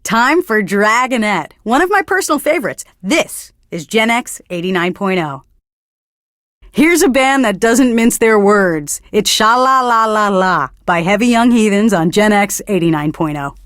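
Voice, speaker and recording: A woman's voice: mid-range voice, expressive, measured pace, fairly bright tone, dry recording, studio quality. An actress playing a bookish, pretentious young female alternative radio DJ in a video game, delivering earnest literary patter. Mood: smooth promotion.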